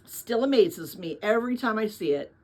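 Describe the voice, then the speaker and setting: A woman's voice: mid-range voice, animated, moderate pace, a little mellow. A woman voicing a kindly, thoughtful adult woman in a storybook, earnest and reflective, with sadness and hope. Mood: thoughtful, gentle.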